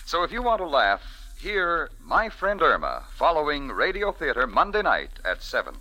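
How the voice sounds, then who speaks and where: Very bright, forceful vintage radio announcer man. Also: a little high, huge pitch swings, moderate pace, poor audio. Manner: polished, emphatic announcer delivery. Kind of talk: scripted 1940s radio announcing and promotion. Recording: vintage 1940s radio recording.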